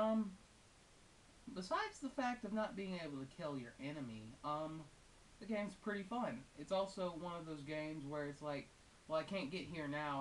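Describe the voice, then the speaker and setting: A female-sounding game reviewer giving casual, unscripted and opinionated commentary in a conversational way with wry asides. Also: mid-range voice. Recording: hissy, distant microphone.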